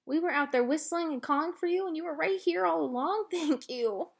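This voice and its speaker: Woman as an eager, excitable young girl, high-pitched. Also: brisk, gravelly. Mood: fond, grateful. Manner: exclaiming, eager. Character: excitable, affectionate young girl telling her story.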